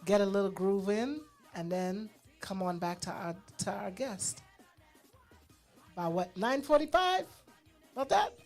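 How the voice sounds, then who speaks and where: A woman co-hosts a radio show in a casual, informal way. Her voice sits at a medium pitch, and she drops in chatty asides while music plays softly behind her. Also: expressive, measured pace.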